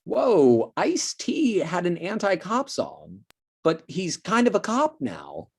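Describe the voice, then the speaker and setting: A woman's voice: on the low side, huge pitch swings, takes it slowly, gravelly, breathy edge, exaggerated voice. A woman playing a brash, nosy, immature adult freeloader in a comedy table read. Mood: amazed.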